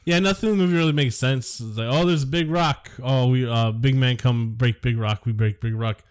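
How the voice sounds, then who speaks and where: A man's voice: mid-range voice, brisk, clean tone. A man co-hosting a casual podcast, sarcastic and dismissive, swearing offhandedly as he reviews a film. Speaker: podcast co-host.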